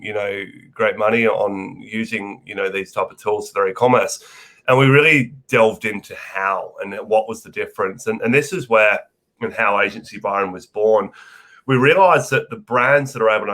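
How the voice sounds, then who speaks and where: A man's voice: fairly low pitch, fairly bright tone. An Australian man with a head cold, talking casually as a podcast guest and rambling through many fillers and restarts. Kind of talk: casual interview chat.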